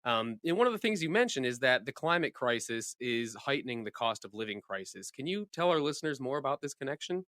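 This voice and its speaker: A man hosting a podcast, brisk in manner, asking a guest questions. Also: mid-range voice, very animated, fairly bright tone, no room sound, clean studio sound. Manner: brisk, reads a scripted promo. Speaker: podcast host. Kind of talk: interview hosting.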